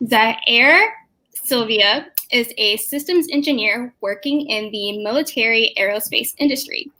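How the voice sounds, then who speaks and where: A woman's voice: fairly high pitch, measured pace, pushed and bright, poor audio. A woman moderating an event, reading out formal speaker introductions.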